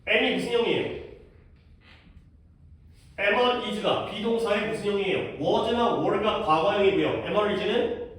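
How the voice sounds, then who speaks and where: A man's voice: high-pitched, slow, pauses often, fairly bright tone. Man teaching grammar in an echoey room. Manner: casual explaining, asks students questions. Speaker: English teacher. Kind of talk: classroom grammar lesson. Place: classroom. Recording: echoey classroom from far off.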